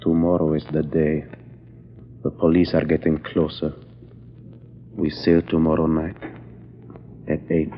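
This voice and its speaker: Casting: foreign smuggler henchman, deep voice, smug schemer, vintage radio thriller with dull, faintly noisy sound. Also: completely flat delivery, measured pace, long pauses. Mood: conspiratorial. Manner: smug, conspiratorial. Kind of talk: 1940s radio acting.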